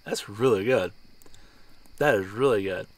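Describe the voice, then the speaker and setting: A man's voice: lowish voice, unhurried, recorded from a distance. A man joking and chatting casually with his partner while she cooks outdoors at night. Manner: relaxed, joking remarks. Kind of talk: casual chat.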